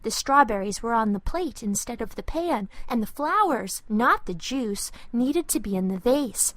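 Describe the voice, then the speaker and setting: A woman's voice: fairly high pitch, animated, moderate pace, a bit bright, no room sound. A woman reading a children's storybook as a scripted narration, expressively and with careful phrasing for young listeners.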